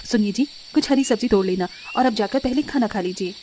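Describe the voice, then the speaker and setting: A woman's voice: medium pitch, a little fast, noisy background, lightly acted. A woman voicing a mild, patient older mother-in-law in a cartoon story. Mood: homely, calm. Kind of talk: acted cartoon story dialogue.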